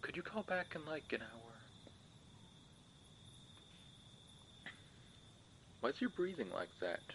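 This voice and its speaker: A man's voice: fairly high pitch, big pitch swings, brisk, a bit bright, echoey room, a little hiss or hum. A young man acting in an audio roleplay as an awkward, sardonic friend who is secretly a monster, talking casually and hesitantly to the listener. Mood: uneasy.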